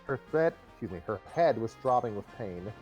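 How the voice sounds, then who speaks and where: A man's voice: mid-range voice, expressive, normal speed, a little mellow. A man reading a comic story aloud as narrator on a live stream, sometimes repeating phrases, with music behind him. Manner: stumbles over and re-reads phrases.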